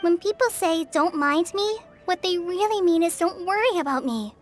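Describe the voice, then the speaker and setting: A woman's voice: very high voice, lively intonation, moderate pace, soft background music. A woman voicing an earnest, caring young girl who is a trainee forest ranger in an anime video game. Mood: concerned. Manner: earnest, reassuring.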